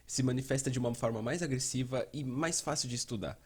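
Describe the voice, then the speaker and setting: Husky-voiced Brazilian man explaining. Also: mid-range voice, fast talker. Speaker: host and fan dubber. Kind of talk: dubbed explainer narration, conversational.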